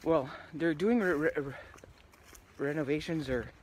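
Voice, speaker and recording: Middle-aged woman, hiking vlog, rambling casual talk, phone mic outdoors, soft background noise. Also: low voice, measured pace. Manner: rambling, chatty, many restarts, often drops to a quiet murmur. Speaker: hiking vlogger. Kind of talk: casual vlog chat while walking. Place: wooded trail outdoors. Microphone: phone held at arm's length.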